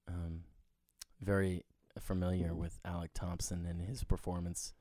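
A man's voice: low voice, flat delivery, a little slow, warm tone, dry recording. A man hosting a podcast with a wry, sarcastic, theatrical manner. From Nebraska, US. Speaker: podcast host. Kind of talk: playful show intro and casual interview.

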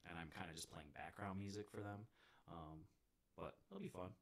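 A man's voice: deep voice, normal speed, slight background noise. A man in his forties, a DJ, chatting casually among friends. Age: 40s. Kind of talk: casual chat.

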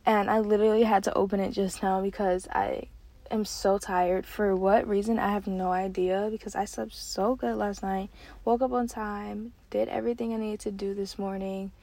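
A nineteen-year-old woman hosting her own podcast, chatting casually and excitedly with lots of fillers from a parked car. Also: medium pitch, moderate pace. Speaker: podcast host. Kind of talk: casual solo podcast chat.

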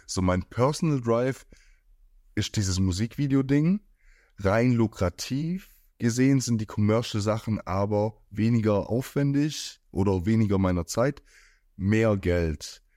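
A man's voice: lowish voice, unhurried, mellow, no echo, studio quality. A man from near Stuttgart hosting an interview, asking long rambling questions in a casual tone. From near Stuttgart, Germany. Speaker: podcast host.

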